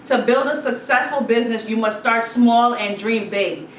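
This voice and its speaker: Guyanese girl vlogging, kinda high voice, chatty, mic a bit far off. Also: normal speed. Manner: animated, chatty, repeats herself, reads quotes aloud. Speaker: YouTube vlogger. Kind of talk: casual sit-down advice chat. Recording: roomy echo, slightly distant mic, faint noise.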